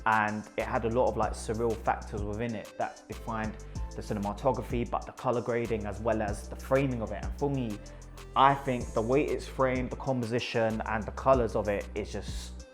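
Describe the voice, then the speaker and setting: A man's voice: fairly low pitch, fairly even intonation, moderate pace, soft background music. A man from Iraq with a London accent, a photographer talking enthusiastically about his pictures and often repeating words for emphasis.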